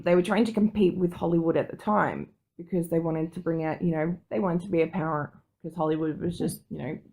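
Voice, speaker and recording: A woman's voice: lowish voice, a little mellow. A woman co-hosting a podcast in casual, chatty, sweary conversation. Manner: chatty, informal. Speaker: podcast co-host.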